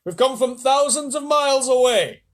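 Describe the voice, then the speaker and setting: A man's voice: high-pitched, expressive, fast talker, pitched above the performer's own voice. A man voicing a cheeky, cocky male centipede in a storybook. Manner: cocky, shouting back.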